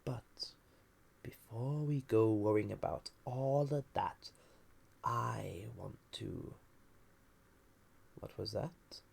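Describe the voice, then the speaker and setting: Man acting a banished villain, breathy rasp, lowish pitch, extremely unhurried, seductive, dangerous, teasing, languid pauses, intimate audio roleplay. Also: darkish tone, heavily acted. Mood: urgent, intent. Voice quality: breathy, husky, often half-whispered. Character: flirtatious, dangerous banished villain, lonely ancient dream dweller.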